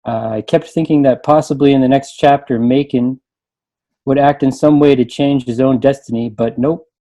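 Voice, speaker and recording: A man's voice: medium pitch, fairly even intonation, normal speed, warm tone. A man chatting casually on a book panel and reading short written reviews aloud.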